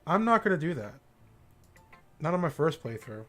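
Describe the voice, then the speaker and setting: Higher-pitched man streaming a game over music. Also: big pitch swings, moderate pace, fairly bright tone. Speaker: livestreamer playing a visual novel. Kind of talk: casual playthrough commentary, reading game text aloud.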